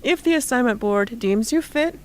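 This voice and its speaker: An actress playing a stern, unyielding rebel leader in an amateur radio play, with a formal, clipped, official delivery. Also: female voice, fairly high pitch, lively intonation, normal speed, close to an everyday voice. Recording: noisy amateur recording.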